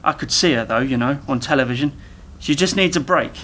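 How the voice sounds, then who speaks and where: An actor playing an eager, naive younger techie in a radio play, rambling and over-explaining earnestly. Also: male voice, mid-range voice, fairly quick, a bit bright, slight background noise, natural voice. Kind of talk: community radio play dialogue.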